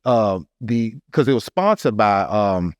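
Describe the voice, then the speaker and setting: A man's voice: on the low side, expressive, measured pace, clean tone, no echo, studio quality. A man with an American accent telling a personal story in a casual, earnest and emphatic podcast style. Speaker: podcast speaker, artist telling his story.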